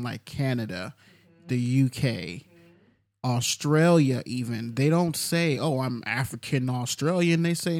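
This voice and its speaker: A man's voice: medium pitch, a little mellow, no room sound. A man from Texas co-hosting a casual discussion, talking in a frank, opinionated way. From Houston, Texas.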